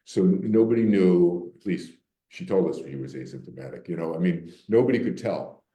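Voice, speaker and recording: A man's voice: deep voice, moderate pace, dark tone, roomy sound. An older man from Boston, a meditation teacher giving a candid, conversational public talk to a library audience. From Boston, Massachusetts. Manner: candid, conversational, repeats words when restarting. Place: library meeting room.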